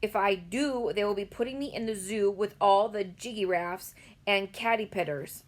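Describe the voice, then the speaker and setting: A woman voicing a big, kindly male giant who talks in slow, muddled grammar. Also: medium pitch, close to an everyday voice. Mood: earnest, rueful. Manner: mangled grammar, slow and emphatic.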